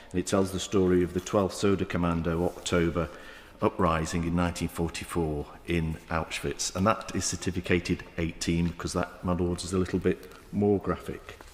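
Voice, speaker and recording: Deep-voiced Mancunian man in echoey chamber. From England. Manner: halting, many fillers and restarts. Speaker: member of the House of Lords. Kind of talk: parliamentary debate speech. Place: large echoing debating chamber. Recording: roomy chamber with long reverb.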